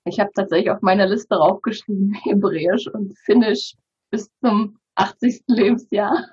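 Husky-voiced woman in her thirties, hesitant. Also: mid-range voice, steady tone, normal speed, darkish tone, lo-fi sound. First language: Bulgarian. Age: 30s. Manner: hesitant, many fillers and restarts. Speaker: interview guest. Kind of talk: casual interview talk.

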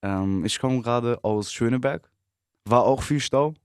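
A man in his twenties from Berlin, a rapper guest giving relaxed, short answers with easy laughs. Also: mid-range voice, measured pace, smooth, dry recording, clean studio sound. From Berlin, Germany. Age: 20s. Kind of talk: casual interview chat.

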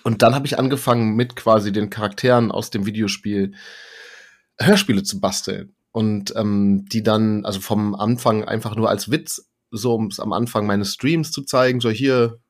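A man's voice: on the low side, fairly even intonation, normal speed. A man talking as a podcast guest in casual conversation, rambling, interrupting himself and repeating phrases for emphasis. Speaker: podcast guest, poetry slammer and author.

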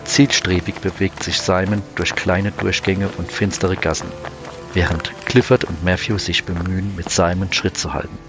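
A male narrator with a deep voice delivers scripted sci-fi drama narration. Clear music plays behind him. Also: steady tone, moderate pace.

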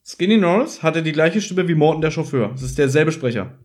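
A man's voice: a little high, expressive, fairly quick, a little mellow. A man chatting casually as a podcast host, playfully joking and acting out bits as he talks. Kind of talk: casual chat about an audio drama episode.

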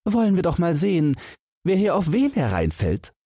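Guy doing a cheeky young fairy tale boy, high swingy voice, cocky and sly. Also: wildly swooping pitch, moderate pace, dark tone, breathy edge, no room sound, clean studio sound, full character voice. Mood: defiant. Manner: pitched-up, lilting, cocky. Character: cheeky, cunning young lad outwitting a giant.

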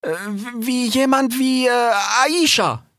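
A man's voice: extremely high pitch, normal speed, fairly bright tone, no echo, studio quality, voice pushed up. A man voicing a small, earnest, hesitant animal in a storybook, stammering and ending sentences with questioning tags. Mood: apologetic, hesitant.

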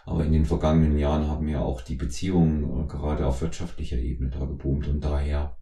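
A middle-aged man from East Germany hosting a conversational podcast interview, speaking in wordy, halting phrases. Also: very deep voice, flat delivery, gravelly, warm tone. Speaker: podcast host. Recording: roomy, echoing voice recording.

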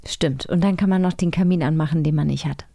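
A woman's voice: low voice, quick pace, vocal fry, warm tone. A woman chatting casually as a podcast co-host. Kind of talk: casual chat.

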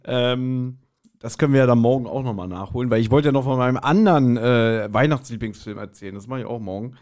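A man speaks as a podcast co-host in relaxed, casual conversation. He sounds wry and blunt, with a jokey way of putting things, at a medium pitch. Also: fast. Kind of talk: casual chat reviewing an audio drama.

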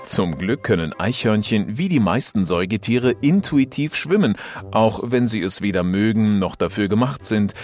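A man narrating a scripted children's story with animated storytelling. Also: lowish voice, normal speed, soft background music.

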